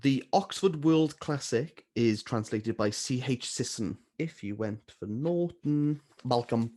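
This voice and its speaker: A man hosting a casual book chat, self-mocking and quick to laugh. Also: medium pitch, lively intonation, mellow. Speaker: book channel host.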